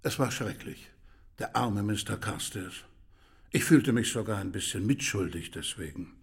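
An actor playing a dutiful, discreet manservant in a radio drama, speaking earnestly and with deference. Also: male voice, on the low side, expressive, moderate pace, gravelly, husky, heavily acted. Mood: sombre, regretful. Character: Mister Kirby, dutiful, discreet household manservant.

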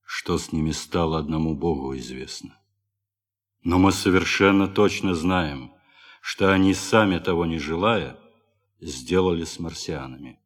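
A grave ship's doctor in a theatrical radio play. His deep voice moves slowly, with weighty pauses and a sombre manner. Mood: grim, certain. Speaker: actor in audio play. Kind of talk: theatrical radio drama acting.

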